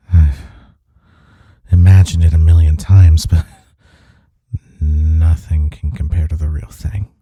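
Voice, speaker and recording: Man acting a deep, dark-voiced romantic rival. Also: little pitch movement, no room sound, exaggerated voice. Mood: breathless, awed. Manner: hesitant, halting, breathy sighs and murmurs. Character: young male coworker rival confessing love, teasing and tender. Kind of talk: intimate romantic audio roleplay acting.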